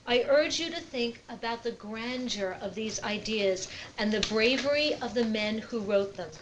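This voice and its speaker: A woman with a somewhat high voice gives prepared opening remarks. She is earnest, formal and measured, with slight noise in the recording. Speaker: constitution center representative giving opening remarks.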